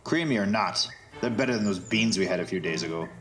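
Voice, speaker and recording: A man playing a blunt, grumbling adventurer in a fantasy audio drama, gruff and matter-of-fact. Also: fairly low pitch, animated, quick pace, a bit bright. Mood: grumbling, insistent.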